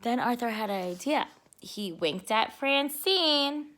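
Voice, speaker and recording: A woman with a high voice reads a children's storybook aloud. She takes her time, with bright tone and expressive, simple delivery suited to preschoolers. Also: slow pace. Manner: explains words simply to young listeners.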